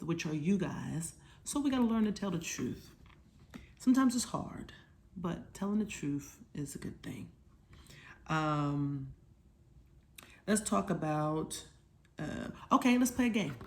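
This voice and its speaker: A woman hosting a children's storytime, talking casually and teaching in simple words, with questions to check that listeners follow. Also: medium pitch. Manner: simple, informal explanations for children. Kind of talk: casual children's lesson, conversational.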